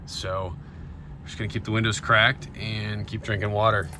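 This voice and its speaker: American male vlogger, casual running commentary, laid-back and matter-of-fact, very noisy car interior, engine rumble. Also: little pitch movement, moderate pace. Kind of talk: casual running vlog commentary while driving. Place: inside and around an old van.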